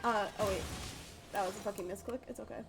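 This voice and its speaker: A woman's voice: mid-range voice, fairly even intonation, quick pace, vocal fry, music in the background, low-quality recording. A woman live-streaming a game, chatting casually in a rambling, sweary, giggly way.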